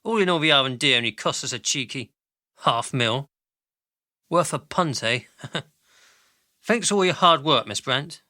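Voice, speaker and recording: A man's voice: mid-range voice, lively intonation, normal speed, long pauses, sharp tone, no echo, clean studio sound. A man playing a glib, greedy, callous company boss in a radio drama, pompous and flippant in manner. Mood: breezily callous.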